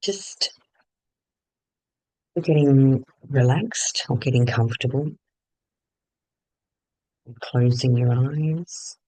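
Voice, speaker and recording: A man leading a guided meditation in short phrases with long pauses between them. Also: mid-range voice, warm tone, no room sound, low-quality recording.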